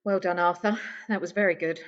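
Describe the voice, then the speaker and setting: A woman playing a quick-witted, placating alien traveller held prisoner in an amateur audio drama, with a coaxing manner. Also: on the low side, fairly even intonation, muffled recording, lightly acted. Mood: eager, prompting.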